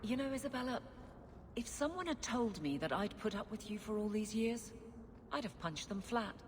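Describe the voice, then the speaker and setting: A woman's voice: fairly high pitch, expressive, moderate pace, noisy background, lightly acted. An actress playing a blunt, no-nonsense female city guard with a teasing edge in a fantasy game. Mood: blunt banter. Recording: long reverb, music behind.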